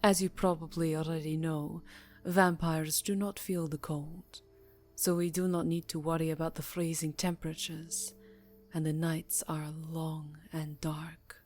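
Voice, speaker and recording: A woman voicing an elegant, imperious yet tenderly seductive vampire countess in an intimate ASMR roleplay, speaking formally and softly, breathy and close to the mic, drifting into whispers. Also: low voice, little pitch movement, a little slow, soft background music. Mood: indulgent, wistful. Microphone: close microphone.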